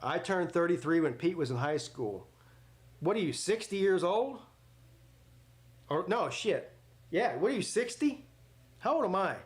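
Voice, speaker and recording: A man's voice: high-pitched, lively intonation, a little slow, long pauses. A man in his forties hosting a live sports watch party, mixing casual chat with play-by-play in a blunt, joking, rambling way. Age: 40s.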